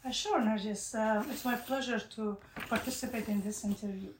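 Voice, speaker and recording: A woman's voice: medium pitch, fairly even intonation, moderate pace, a little hiss or hum. Earnest woman from Afghanistan, echoey room. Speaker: interview guest. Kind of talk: unscripted interview answers.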